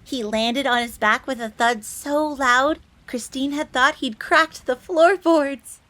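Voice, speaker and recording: A woman's voice: high voice, slow, fairly bright tone. A woman playing a bubbly, devoted fox maid with a fierce streak, eagerly deferential and speaking of herself in the third person in an audio roleplay. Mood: proud, animated storytelling. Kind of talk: dramatic audio roleplay storytelling.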